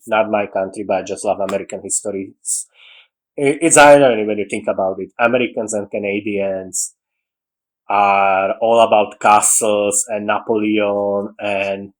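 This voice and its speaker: A man with a Slovak accent, English being his second language, talks in an unscripted, meandering way. His voice sits on the lower side. He often restarts sentences and leaves out small words. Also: steady tone, normal speed, low-quality recording. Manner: rambling, with frequent restarts and dropped articles. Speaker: writing podcaster. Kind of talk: casual monologue on story writing.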